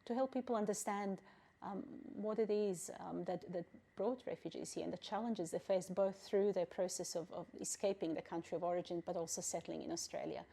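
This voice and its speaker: A middle-aged woman from Bosnia, a refugee council president, answering interview questions in a measured, thoughtful way with frequent pauses and fillers. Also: fairly low pitch, creaky, dark tone, breathy edge.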